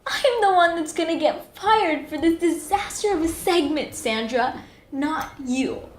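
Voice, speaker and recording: Woman playing a preachy tv reporter lady, swoopy high voice, articulate and indignant, audio play, bit of hiss. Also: expressive, a little slow, reverberant. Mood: bitter, exasperated. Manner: emphatic, argumentative, articulate. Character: earnest, indignant, moralizing female TV journalist. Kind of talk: amateur audio drama acting.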